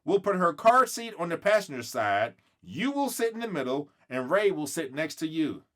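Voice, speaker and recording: A man voicing a gentle, reassuring mother in a children's storybook, patiently drawing out her explanations. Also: a little high, sing-song, moderate pace. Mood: explaining.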